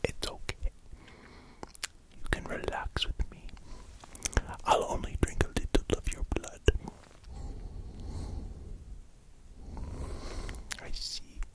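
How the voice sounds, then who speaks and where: Casting: vampire dog count acted by a puppeteer, playful and softly menacing, all in hushed, slow ASMR whispers, close-miked. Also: lightly acted. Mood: soothing. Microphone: close pair of microphones.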